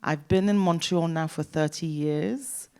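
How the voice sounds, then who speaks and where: A woman with an English accent speaks in a low, unhurried register. She is a nonprofit director introducing herself on a panel, calm and reflective, with soft fillers between her thoughts. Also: clear, resonant tone. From Syria.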